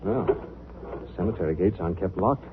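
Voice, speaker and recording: An actor playing an assured, skeptical gentleman sleuth in a 1940s radio drama, explaining things to his companion. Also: low-pitched, moderate pace, phone-quality sound, close to an everyday voice. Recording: thin, noisy 1940s radio recording.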